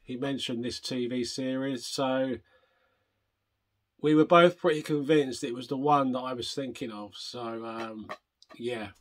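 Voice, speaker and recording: An older man hosting a retro gaming vlog, chatting casually and rambling, hoarse from a chest infection. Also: mid-range voice, a little slow. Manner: rambling, self-interrupting, chatty. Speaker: retro gaming channel host.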